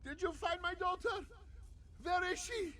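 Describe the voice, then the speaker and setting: High-pitched, frantic old father. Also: moderate pace, a bit bright. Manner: frantic, doting. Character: foolish, fretful old cat-folk father.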